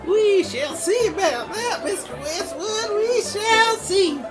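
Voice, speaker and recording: Sly, slow-talking saloon gambler, played by a man. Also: extremely high pitch, lively intonation, loud music behind the voice, a loud crowd, full character voice. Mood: sly taunting. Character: sly, taunting male card player in a saloon. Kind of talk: western audio drama acting.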